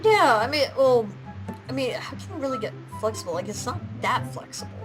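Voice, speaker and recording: A woman chatting casually in an animated, giggly, rambling way as co-host of a fan video. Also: sits high, a little fast, soft background music, low-quality recording. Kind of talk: casual chat.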